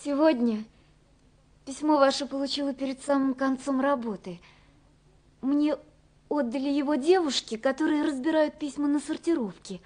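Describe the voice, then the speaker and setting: A woman's voice: sits high, steady tone, normal speed, natural voice. An actress playing a tender, dreamy young woman in love, reading her letters in a wistful, intimate way for a radio drama. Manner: wistful, intimate, softly wondering.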